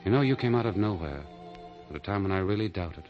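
A deep-voiced, sorrowful gentleman lover in a 1940s radio romance. He speaks earnestly and with measure, on a muffled old broadcast. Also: animated, fast talker. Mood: tender. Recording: muffled 1940 radio broadcast, faint music.

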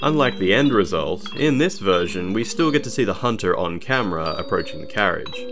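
A man narrating a scripted game analysis in a measured, formal documentary manner. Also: low-pitched, lively intonation, over music. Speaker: video narrator.

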